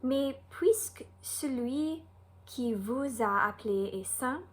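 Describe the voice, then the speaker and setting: A woman from the United States, a native English speaker with an American accent, reading a Bible lesson in careful, deliberate short phrases. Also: high-pitched, lively intonation. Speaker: Bible teacher.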